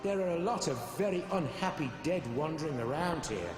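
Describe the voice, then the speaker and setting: A man's voice: a little high, animated, normal speed, lightly acted. A man playing the bitter, aggrieved ghost of a young blacksmith in a video game, sulky and complaining. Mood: bitter self-pity. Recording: long cavernous reverb, game music behind.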